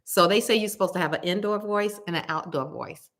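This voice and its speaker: A woman with a loud, carrying voice, chatting casually to her viewers and telling stories with lots of emphatic repetition. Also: medium pitch, a little fast. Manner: animated storytelling, repeats words for emphasis. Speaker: cooking vlogger.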